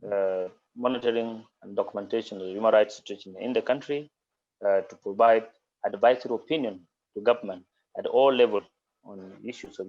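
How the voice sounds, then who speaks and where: A man with a South Sudanese accent giving formal, deliberate interview answers as an official over a video call. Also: fairly low pitch, muffled recording. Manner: deliberate, official, legalistic phrasing. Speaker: human rights commissioner, interview guest.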